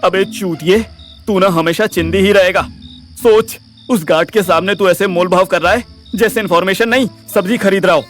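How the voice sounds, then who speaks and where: A man's voice: sits high, moderate pace, fairly bright tone, faint music behind. A man playing a brash, swaggering, foul-mouthed young sleuth in an audio drama, talking in bossy slang. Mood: aggressive, scornful. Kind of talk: acted audio drama dialogue.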